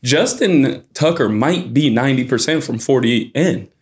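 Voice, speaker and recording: A young man co-hosting a sports podcast, talking in casual, profane, animated banter. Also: mid-range voice, moderate pace, husky. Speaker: podcast co-host. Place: small podcast studio. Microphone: boom mic with headphones.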